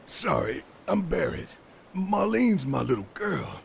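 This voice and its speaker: An actor playing a gruff, devoted father in a video game cutscene, gravelly and halting with emotion. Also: high voice, big pitch swings, slight background noise, heavily acted. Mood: awkward, tender. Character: gruff, frantic, devoted father of a little girl.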